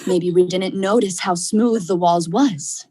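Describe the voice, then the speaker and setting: A woman's voice: lowish voice, unhurried, dark tone. A young woman acting a tough, dismissive male crook in vintage radio drama style, with folksy slangy delivery. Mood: wry narrating. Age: young adult. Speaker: student actor.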